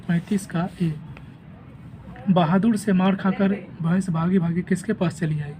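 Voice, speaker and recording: A man with a sore, rough throat reads through an answer key at a steady, repetitive pace. His voice is dark and mellow, with a faint hiss of noise behind it. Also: sits high, low-quality recording. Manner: steady, repetitive, reads each question then gives the answer and option. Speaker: teacher going over an exam answer key. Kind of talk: reading out quiz answers.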